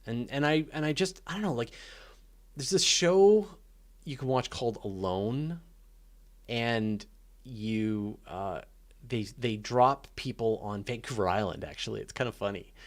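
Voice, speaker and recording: A man's voice: mid-range voice, unhurried. A man hosting a live question and answer session, talking off the cuff in an informal, opinionated, emphatic way and thinking aloud. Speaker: host of a live space and astronomy Q&A. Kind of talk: casual off-the-cuff Q&A commentary.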